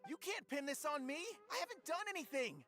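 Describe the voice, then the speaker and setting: A woman's voice: high voice, very animated, fairly quick, fairly bright tone, faint music behind. A woman voicing a nervous, defensive teenage male student in a video game, stammering and protesting.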